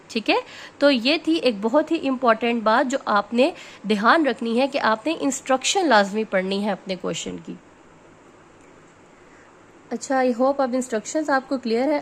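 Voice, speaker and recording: A woman teaching a test-prep lesson. She explains each point step by step, mixes in English phrases and keeps checking in with her listeners. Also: fairly high pitch. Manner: switches between Hindi-Urdu and English phrases.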